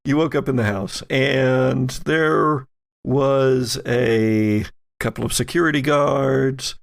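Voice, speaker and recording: Slow, gravelly man recapping a game. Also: mid-range voice, steady tone. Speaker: podcast host and game master. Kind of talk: casual recap narration of a tabletop roleplaying story.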